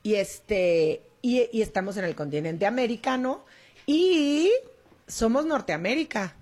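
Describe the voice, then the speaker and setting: A woman's voice: on the high side, expressive, unhurried, darkish tone. A woman, co-hosting a casual radio chat in a chatty, joking way. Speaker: radio co-host.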